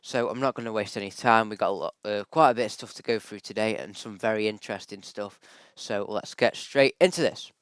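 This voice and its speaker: A man's voice: fairly low pitch, brisk, few pauses, a bit bright, clear, resonant tone, no room sound. Man with a cold, forecasting. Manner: chatty, lots of ums and likes, thinks aloud. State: has a bad cold, voice a little off. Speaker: weather forecaster. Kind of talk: casual forecast vlog over screen-shared charts.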